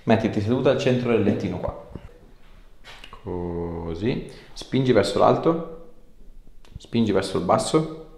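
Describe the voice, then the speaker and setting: A man's voice: on the low side, lively intonation, a little slow, pauses often, a little mellow. A man in his thirties, an osteopath chatting casually with a patient and giving short instructions. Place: small indoor clinic treatment room. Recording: echoey small treatment room.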